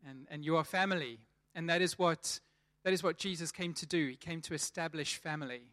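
Bright-voiced South African man preaching. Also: on the high side, fairly quick. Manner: chatty, repeats words as he restarts. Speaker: church leader preaching. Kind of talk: sermon.

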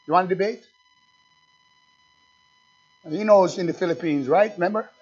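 A high-pitched man preaches from the pulpit, dropping to low asides and then surging loud. He slows to lean hard on scripture and keeps firing questions at his listeners. The recording is dull and lo-fi. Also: breathy edge. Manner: reads scripture slowly and with emphasis; talks straight to the congregation with questions. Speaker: pastor. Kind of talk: fiery sermon.

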